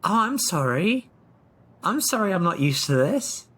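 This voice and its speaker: A man's voice: sits high, sing-song, measured pace, fairly bright tone, breathy edge. Hesitant man at a tabletop game. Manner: hesitant, apologetic. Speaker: player. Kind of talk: casual tabletop role-play.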